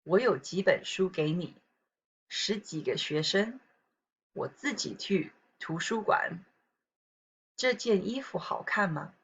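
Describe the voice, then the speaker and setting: Low husky woman teacher with a southern Taiwanese accent. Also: lively intonation. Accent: southern Taiwanese Mandarin. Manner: reads sentences slowly and evenly, casual asides to students. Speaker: Chinese language teacher. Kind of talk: recorded pronunciation lesson. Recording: dull lo-fi recording.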